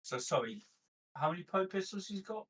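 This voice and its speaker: A man's voice: high-pitched, sing-song, a little fast, distant mic. A man playing a tabletop game, casually tallying up items and bargaining.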